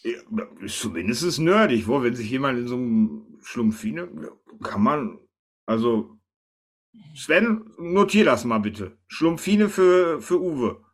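A man's voice: mid-range voice, sing-song, slow pace. A man hosting a casual podcast in a chatty, teasing way. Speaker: podcast host.

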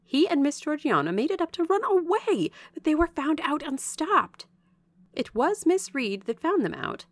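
A woman voicing a chatty, warm-hearted young married servant woman in a storybook, with a lively, familiar, gossiping manner. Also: high-pitched, normal speed, no room sound, studio quality, pitched above the performer's own voice. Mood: gossipy. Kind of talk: storybook character dialogue.

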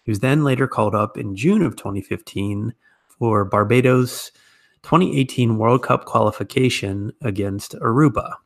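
An American man hosts a podcast. His voice sits at a medium pitch for a man. He delivers introductions and interview talk as the show's presenter. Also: normal speed. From United States.

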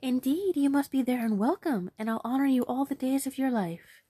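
A woman voicing a frightened young country girl, pitched high and speaking urgently. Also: fairly even intonation, normal speed, a little mellow, no echo. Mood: overjoyed.

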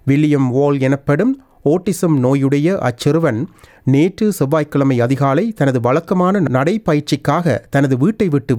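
A man with a somewhat high-pitched voice presents the news. He reads from a script in a formal, measured way, item by item. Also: mellow.

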